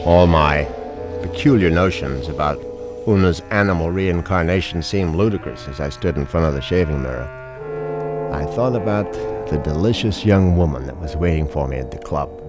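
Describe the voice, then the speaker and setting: An actor playing a fussy, jittery wealthy husband in a radio mystery, theatrical and wry. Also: male voice, low-pitched, moderate pace, over music, natural voice. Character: fussy, jittery wealthy husband narrating his own misadventure. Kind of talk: radio mystery drama acting, first-person narration.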